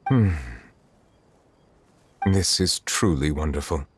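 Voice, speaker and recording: Deep, stately judge. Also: male voice, lively intonation, long reverb tail, faint music behind, full character voice. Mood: composed. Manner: formal, measured, stately. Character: dignified, reserved male chief judge, formal and composed. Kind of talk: video game voice acting.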